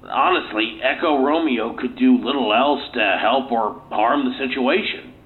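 An actor playing a proud, defensive research scientist answering questions in a curt, self-assured manner in an audio play. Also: male voice, medium pitch, unhurried, close to an everyday voice. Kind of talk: audio play interview acting. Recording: tinny phone-like recording, faint noise.

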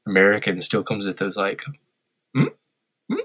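A man's voice: fairly low pitch, moderate pace, pushed and bright, slightly rough. A man in a candid interview, talking reflectively with wry humor and occasional bluntness. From Korea. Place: lives in Tokyo.

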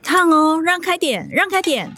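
An actress playing an anxious, doting middle-aged mother with a Taiwanese accent, fretful and expressive in a radio drama. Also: very high-pitched, moderate pace, a little hiss or hum, full character voice. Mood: bustling.

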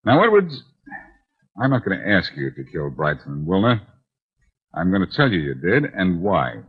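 An actor playing a smooth, cocky detective in 1940s radio style, with wry, taunting, self-assured delivery. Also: male voice, sits low, wildly swooping pitch, normal speed, exaggerated voice. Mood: confident. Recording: dull, lo-fi 1940s radio recording.

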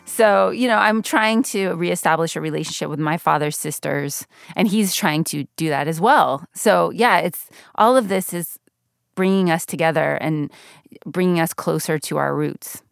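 Low gravelly american woman, middle-aged, candid guest chat, dry studio mic. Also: moderate pace, no room sound. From United States. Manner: reflective, candid, laughs easily. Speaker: guest, radio journalist. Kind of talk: conversational interview.

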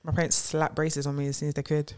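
A woman's voice: sits low, little pitch movement, fast talker, darkish tone. A woman speaking as a podcast guest in casual chat, with playful interjections.

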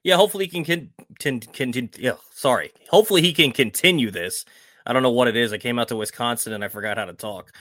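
A male co-host talks through sports analysis in a conversational way. His voice is bright and mid-pitched, recorded close and dry. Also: fast talker, dry recording.

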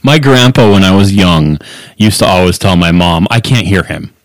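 A man's voice: low voice, expressive, normal speed, no room sound. A man hosting a podcast, chatting casually and chuckling often. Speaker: podcast host. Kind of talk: casual chat.

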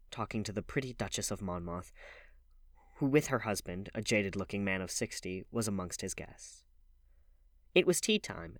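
A man's voice: on the low side, no room sound, studio quality. A man reading literary fiction aloud as a scripted narration. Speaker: audiobook reader.

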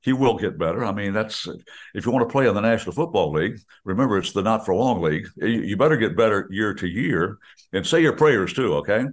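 A man's voice: lowish voice, expressive, quick pace. An older man, a radio play-by-play announcer, chatting about football in a folksy, rambling way with many restarts. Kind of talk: rambling radio sports chat.

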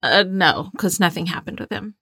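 A woman's voice: fairly low pitch, expressive, normal speed, heavy background noise. A woman in her forties co-hosting a podcast, chatting and reading aloud in a giggly, informal way. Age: 40s. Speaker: podcast co-host. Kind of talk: casual chat and diary reading.

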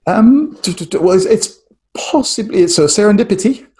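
A man's voice: sits high, expressive, moderate pace, a little mellow, slightly rough. A middle-aged man from France, a guest answering interview questions thoughtfully, with asides and restarts. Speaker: guest, strategy trainer and author.

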